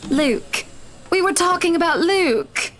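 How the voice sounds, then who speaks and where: A woman voicing a haughty, bitter, wealthy married lady in a visual novel, sharp and theatrical, with condescending endearments. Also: very high voice, expressive, slow, pitched above the performer's own voice, full character voice. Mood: frustrated. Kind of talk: visual novel character dialogue.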